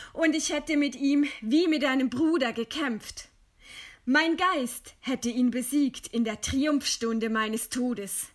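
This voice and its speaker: An actress playing a proud, scornful woman writer facing execution in a radio play, with a deliberate, ironic delivery. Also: on the high side, fairly bright tone. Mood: solemn contempt. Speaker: radio drama actor. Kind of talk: German radio play acting.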